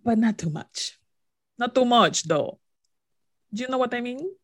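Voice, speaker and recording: A woman's voice: a little high, animated, no echo, clean studio sound. A woman with a slight Dominican accent, explaining ideas in casual podcast conversation and checking in with "right?". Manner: quick, explanatory, peppered with "right?".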